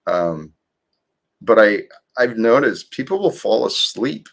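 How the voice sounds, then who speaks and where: A man hosting a livestream, talking slowly and calmly about faith and gratitude in a soothing, gently preaching manner. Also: lowish voice, creaky. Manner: slow, calm, gently preaching. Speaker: livestream host. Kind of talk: spiritual livestream talk.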